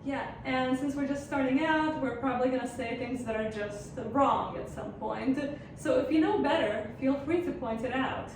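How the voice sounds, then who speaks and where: A woman in her thirties, a former postdoc, co-hosting a science lesson with dry, deadpan humor. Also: high-pitched, moderate pace, hardly any pauses, noisy background. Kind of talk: casual educational chat. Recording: echoey room.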